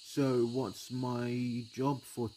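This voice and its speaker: A man's voice: mid-range voice, little pitch movement, very slow, mellow, a little hiss or hum. A man voicing a keen electric creature with a normal human voice, plain and inquisitive, in a story.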